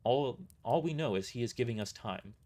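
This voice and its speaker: A man's voice: mid-range voice, lively intonation, normal speed, dark tone, close to an everyday voice. A man playing a weary mercenary boss who gives grave, matter-of-fact briefings in an audio drama. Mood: grave, explanatory.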